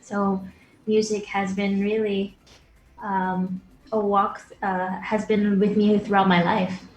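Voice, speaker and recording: A woman in her twenties from Brunei, a singer answering interview questions over a video call, polite and soft-spoken. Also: mid-range voice, little pitch movement, slow pace, echoey room, highs cut off, a little hiss or hum. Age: 20s. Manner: polite, soft-spoken, addresses host as sir. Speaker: guest singer. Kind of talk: remote interview, casual.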